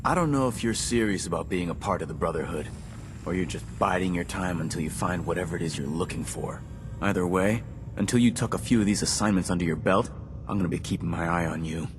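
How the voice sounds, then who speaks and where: An actor playing a gruff, hostile military officer in a video game, curt and condescending as he hands out orders. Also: low voice, quick pace, close to an everyday voice. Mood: stern, suspicious. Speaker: military officer handing out assignments. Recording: loud music behind the voice.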